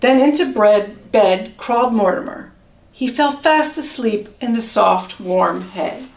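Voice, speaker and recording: A woman reading a children's story aloud with measured, expressive storytelling. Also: a little high. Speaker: storybook reader. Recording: roomy echo, distant microphone.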